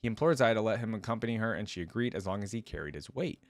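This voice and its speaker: A man's voice: on the low side, expressive, racing, dry recording. A man hosting a reaction video, reading a story aloud and breaking into informal, chatty commentary. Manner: informal asides with frequent "like" and "right?".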